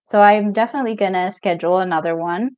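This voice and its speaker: A middle-aged woman from Ethiopia hosts a casual live question-and-answer session as a mental health coach. She talks in a relaxed, meandering way, full of "um"s, and reads out comments from viewers. Her voice sits at an ordinary pitch, and the audio is muffled and close with no room sound. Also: fast talker, dry recording. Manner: relaxed, chatty, reads viewer comments aloud with many fillers. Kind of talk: live stream Q&A. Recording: dull lo-fi stream audio, dry.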